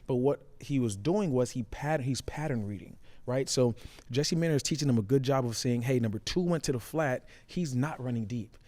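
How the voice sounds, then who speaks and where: A man's voice: mid-range voice, moderate pace, darkish tone. A man breaking down football plays as a talk show analyst, colloquial and explanatory. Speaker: sports talk show co-host, former player turned analyst.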